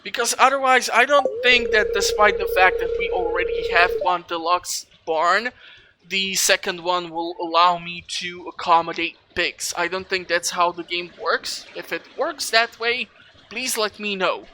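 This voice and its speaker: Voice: medium pitch, a little slow, bright, forceful tone, a little hiss or hum. A gaming video host giving casual let's play commentary, chatty and rambling while thinking aloud. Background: video game music.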